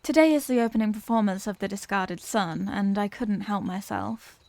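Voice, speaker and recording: A woman's voice: mid-range voice, moderate pace, darkish tone, no room sound, lightly acted. A woman playing a refined, courteous Victorian vampire lady in an audio roleplay, speaking in formal, old-fashioned phrases. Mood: gracious, inviting. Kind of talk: ASMR audio roleplay, scripted character acting.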